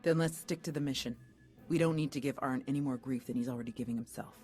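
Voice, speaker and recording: A woman voicing a steady, protective Jedi commander in a video game. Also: extremely low pitch, fast talker. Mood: reassuring. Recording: background music.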